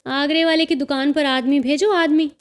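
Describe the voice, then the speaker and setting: A woman's voice: high voice, steady tone, normal speed, lightly acted. A woman voicing a playful, teasing young sister-in-law in a storybook reading.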